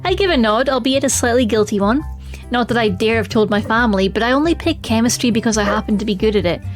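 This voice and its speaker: A woman's voice: a little high, fast. A woman streaming a game, chatting expressively and reading the story text aloud. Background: faint music.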